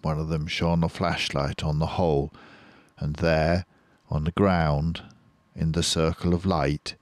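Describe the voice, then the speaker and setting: An older man reads a children's story in a very deep voice. His delivery is unhurried and careful, building suspense, and the sound is dry with no room around it. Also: level tone, no room sound. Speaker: storybook reader. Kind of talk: expressive children's story narration.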